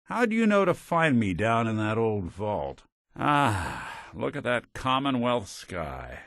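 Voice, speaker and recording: An actor playing a weary, wry but kind synth private detective in a video game, with an American accent and a folksy old-time gumshoe delivery. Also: male voice, medium pitch, very animated, slow pace, a bit bright, no echo, full character voice. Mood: wry, reflective. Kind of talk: noir detective game dialogue.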